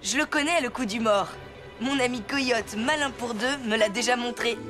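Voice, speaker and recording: A woman's voice: a little high, moderate pace, over music, lightly acted. A woman voicing a brave, kind and determined young boy in a French cartoon. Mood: reassuring.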